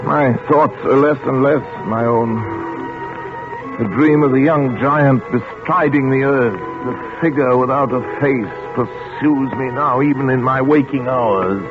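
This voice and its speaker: An actor playing a tormented scientist and father in a 1940s radio thriller, dramatic and halting, with words repeated in dread. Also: medium pitch, natural voice. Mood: haunted, brooding. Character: tormented male scientist, a father in thrall to a brain. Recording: tinny 1940s radio broadcast with music.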